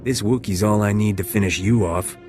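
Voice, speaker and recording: An actor playing a wry, cocky smuggler captain with a flippant way of talking in a video game. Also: male voice, on the low side, fairly quick, darkish tone, natural voice. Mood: wry, breezy. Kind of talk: video game character acting. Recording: music under the voice.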